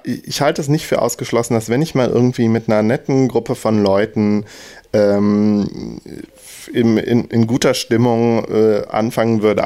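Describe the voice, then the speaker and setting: A man's voice: on the low side, dark tone. A man hosting a casual podcast chat, talking in loose, hesitant sentences with many restarts. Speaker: podcast host.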